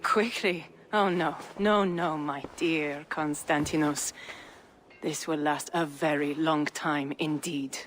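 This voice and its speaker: An actress playing a vengeful, menacing torturer in a video game, deliberate and coldly relishing revenge. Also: female voice, deep voice, fairly even intonation, a little hiss or hum. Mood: cold menace. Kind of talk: video game cutscene acting.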